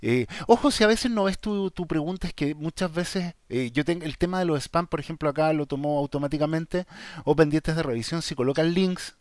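Hombre chileno de voz algo aguda. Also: male voice, fairly high pitch, a little fast, a little mellow, no room sound, clean studio sound. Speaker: YouTube channel host. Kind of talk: casual vlog talk, answering viewer questions.

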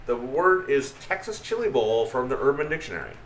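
A man's voice: medium pitch, brisk. Middle-aged guy streaming a raid w friends, voice all over the place, kinda roomy mic, faint hum. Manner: animated, playful, laughs easily. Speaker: streamer hosting a group raid. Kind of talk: casual gaming chat with friends. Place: home streaming room.

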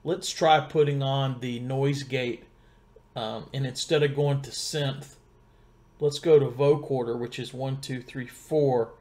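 Middle-aged male tech presenter, mid-range voice, informal step-by-step explaining, pauses to think as he goes. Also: mid-range voice, steady tone, a little mellow. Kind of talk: casual step-by-step gear tutorial. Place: home computer desk in a wood-panelled room. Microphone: desktop condenser mic about two feet away.

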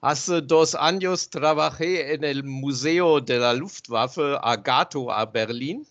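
A man speaking Spanish with a German accent, his second language, giving slow halting remarks with many pauses and filler sounds on a video call. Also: medium pitch, fairly bright tone. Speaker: guest speaker. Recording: dull lo-fi call audio.

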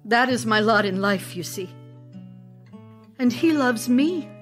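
A woman's voice: fairly high pitch, expressive, soft background music. A woman playing an ardent, theatrical stage actress in love, speaking emotionally in an audio drama. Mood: anguished, lovelorn. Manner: emotional, theatrical, deliberate phrasing. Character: Olga Knipper, ardent Russian stage actress and lover. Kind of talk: audio drama acting, letters read as speech.

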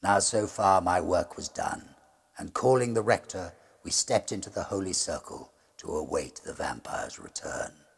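A middle-aged man with a husky, gravelly voice narrating a gothic ghost story in a measured, suspenseful, theatrical way. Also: sits low.

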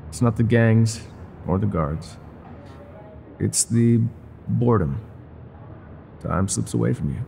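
Man playing a deep, gravelly weary ex-mobster barkeep. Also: warm tone, slight background noise. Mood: weary, resigned. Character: weary older ex-mafia boss turned bar owner, regretful, protective. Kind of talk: intimate scripted roleplay monologue, ASMR audio drama.

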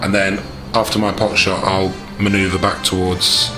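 Male tabletop player, casual, low voice, roomy sound, music behind. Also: level tone, unhurried. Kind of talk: casual game table chat.

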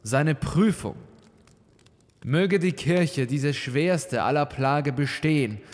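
A man's voice: a little high, slow, big-room sound, voice pushed up. Man acting a firm, defiant cardinal. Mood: impassioned. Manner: firm, solemn, oratorical. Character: defiant, principled cardinal of advanced middle age. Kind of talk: dramatic audio story dialogue.

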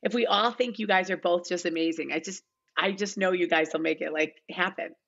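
Bright-voiced, lowish-pitched woman, dull call audio. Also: a little fast, creaky, husky. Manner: animated, conversational, frequent "like" and "you know". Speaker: guest, casting director. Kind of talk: casual podcast chat. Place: large living room at home, indoors. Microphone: video call, no close mic seen.

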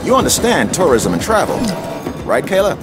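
A man's voice: on the high side, huge pitch swings, normal speed, over loud music. An actor playing a thoughtful conservationist advisor in a video game, earnest and explanatory in his way of talking. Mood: earnest, measured. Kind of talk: video game dialogue acting.

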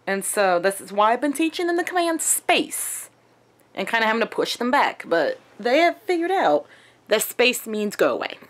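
A young adult woman chatting casually to a handheld camera at arm's length in a small room at home, in a conversational, animated manner. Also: a little high, normal speed. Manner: animated, conversational, acts out quotes. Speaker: vlogger.

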